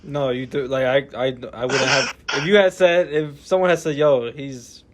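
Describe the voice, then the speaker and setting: A man hosts a casual podcast in a relaxed, slangy way. His voice sits at an ordinary pitch. He asks long, meandering questions. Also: normal speed, noisy background. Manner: laid-back slang, animated when quoting. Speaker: podcast host.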